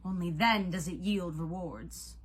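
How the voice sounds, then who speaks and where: A woman voicing an imperious male god of love in a storybook, drawn-out, menacing and declamatory. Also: on the low side, husky. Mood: scornful, forceful.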